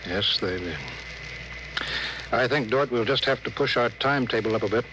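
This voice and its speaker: An actor playing a commanding, weary spaceship captain, deliberate and authoritative, in a 1950s radio drama. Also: male voice, medium pitch, natural voice. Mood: grave, thoughtful. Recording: noisy vintage 1950s radio broadcast.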